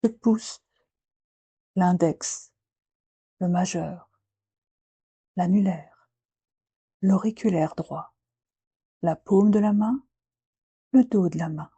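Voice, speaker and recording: Slow, dark, breathy woman guiding relaxation. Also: on the low side, no room sound. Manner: gentle, unhurried, with long pauses between short phrases. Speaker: yoga nidra guide. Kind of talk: guided relaxation meditation, slow and soothing.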